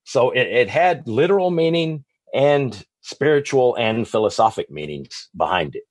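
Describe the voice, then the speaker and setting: A man's voice: mid-range voice, expressive, normal speed, dry recording. A man with a Texas accent, a guest author giving long, rambling answers in a podcast interview, often restarting and correcting himself. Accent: Texan.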